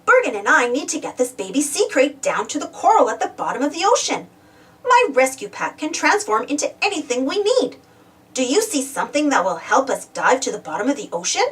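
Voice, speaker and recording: Female children's storybook reader, high voice, highly animated and exclamatory, recorded a little away from the mic. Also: a little fast. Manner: animated, exclamatory, addresses young listeners with questions. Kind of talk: expressive children's read-aloud. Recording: distant from the mic.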